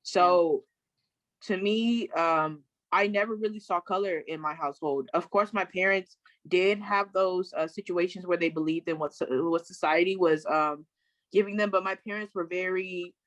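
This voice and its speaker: A woman's voice: on the low side, moderate pace, a bit bright, no echo, poor audio. A woman from Sacramento speaking as a panelist in a casual discussion, outspoken and emphatic, often restarting her sentences. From Sacramento, California.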